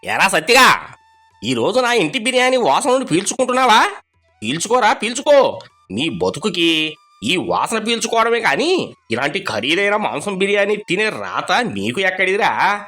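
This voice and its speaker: A man voicing an arrogant rich village man in a cartoon story, taunting and shouting. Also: high-pitched, big pitch swings, moderate pace, soft background music, voice pushed up, exaggerated voice. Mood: mocking.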